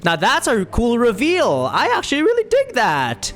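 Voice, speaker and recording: An animated game streamer talks casually to viewers. The pitch swings widely as they ramble and circle back over their words, with music playing underneath. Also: normal speed. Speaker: streamer reacting to a video game playthrough. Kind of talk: casual livestream chat, commentary.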